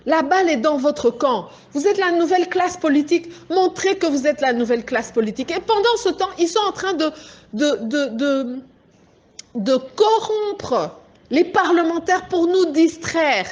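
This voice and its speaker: A woman's voice: sits high, animated, normal speed, darkish tone, dull audio. A woman from Congo with a Congolese French accent, giving an impassioned political address to a group as an activist, urgent and insistent, driving her points home. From Democratic Republic of the Congo.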